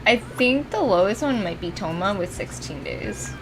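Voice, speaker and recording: A woman's voice: mid-range voice, animated, moderate pace, background noise. A woman game streamer chatting casually and swearing often as she plays. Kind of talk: casual chat while streaming a video game. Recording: music in the background. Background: music.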